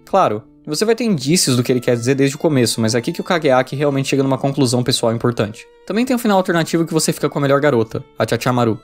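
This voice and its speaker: A man's voice: medium pitch, expressive, rapid-fire, few pauses, soft background music. A man with a Brazilian accent reading a scripted video review.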